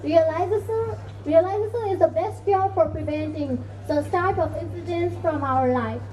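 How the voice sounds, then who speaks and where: A female narrator presents a scripted school play. Her voice is very high and mellow in tone. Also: normal speed, rough recording.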